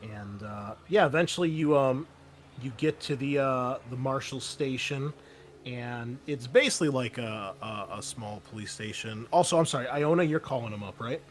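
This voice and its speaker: A male game master improvises narration for a tabletop game. His delivery is casual and halting, at an average pitch. There is faint background noise on the recording.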